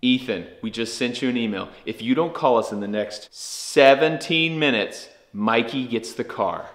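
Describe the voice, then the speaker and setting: A man's voice: medium pitch, normal speed, heavy background noise. A man hosting a vlog, chatting casually and laughing often as he shows truck parts in a workshop. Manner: laid-back, laughs often. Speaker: vlog host. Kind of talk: casual chat.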